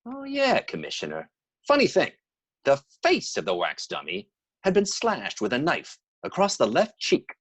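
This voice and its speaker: An actor playing an eager, streetwise police detective in a radio drama, talking in colloquial gumshoe slang. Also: male voice, fairly high pitch, moderate pace, heavily acted. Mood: eager reporting. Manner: animated, colloquial. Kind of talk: old-time radio drama acting.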